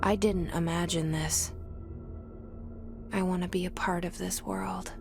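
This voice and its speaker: An actress playing a brooding, guarded young woman's inner thoughts in a video game, hushed, intimate and breathy with some creak. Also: fairly low pitch, little pitch movement, unhurried, music in the background. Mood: brooding, resolute. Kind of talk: introspective video game monologue.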